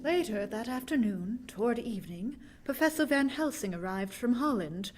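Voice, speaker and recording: A woman's voice: a little high, lively intonation, normal speed, over music, lightly acted. An actress playing a frightened young Victorian woman, telling her tale dramatically in a vintage radio drama. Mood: uneasy, haunted.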